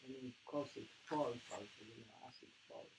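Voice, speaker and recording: A man's voice: mid-range voice, fairly even intonation, brisk, a little mellow, a little hiss or hum, poor audio. A man in an informal reflective discussion, speaking in short phrases that he repeats and mulls over. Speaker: discussion partner.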